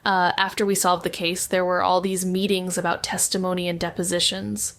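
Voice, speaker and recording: A woman's voice: fairly low pitch, little pitch movement, brisk, a bit bright. A woman playing a wry, self-deprecating young woman back in her hometown, narrating an audio drama in a conversational, sardonic way with rambling asides. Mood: reflective, wry. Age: young adult. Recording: noticeable background ambient noise.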